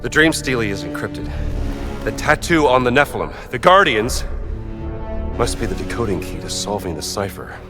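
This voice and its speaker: An actor playing a gruff, wry, scholarly middle-aged American adventurer archaeologist in a video game. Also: male voice, medium pitch, very animated, normal speed, over music, natural voice. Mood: absorbed, deducing. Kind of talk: cinematic game acting.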